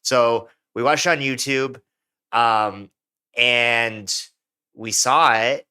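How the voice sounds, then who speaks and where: A man's voice: mid-range voice, takes it slowly, bright, forceful tone, smooth. A young American man, co-hosting a podcast in casual, rambling chat full of filler words. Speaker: podcast co-host.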